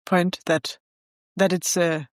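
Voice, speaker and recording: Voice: mid-range voice, level tone, slow pace, no echo. Danish-accented podcast host, dry sound. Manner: hesitant, many fillers like "like" and "um". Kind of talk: casual interview talk.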